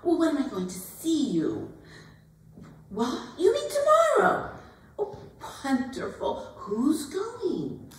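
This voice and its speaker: A woman performs a young society debutante, giddy, earnest and a little self-important. Her voice is high and swoops about with lively, halting delivery, as in a staged reading. It rings with heavy echo, like a hall heard from some way off. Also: distant mic, full character voice. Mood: gushing excitement. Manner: gushing, halting, breathy exclamations and giggles. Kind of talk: theatrical dramatic monologue at a staged reading. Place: arts center performance space. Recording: echoey hall, picked up from afar.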